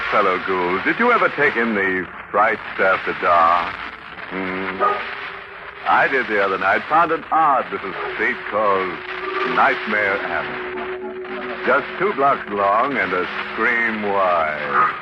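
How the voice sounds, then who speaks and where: A man playing a sly, macabre horror host on 1940s radio, theatrical and drawn-out, relishing morbid puns. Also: lowish voice. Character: ghoulish male horror host, slyly macabre and mock-welcoming. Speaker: host and narrator of a horror show. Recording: noisy, muffled 1940s radio recording.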